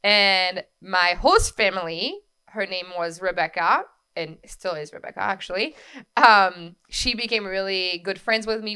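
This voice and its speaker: A woman in her thirties from France with a French accent, telling her story as a podcast guest in a lively way with quick asides. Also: mid-range voice, clear, resonant tone. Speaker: guest, wedding coordinator. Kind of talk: casual interview storytelling.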